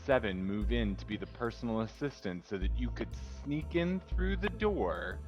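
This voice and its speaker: A man's voice: on the low side, lively intonation, moderate pace, darkish tone, dull audio, soft background music. A man player laying out an accusation step by step.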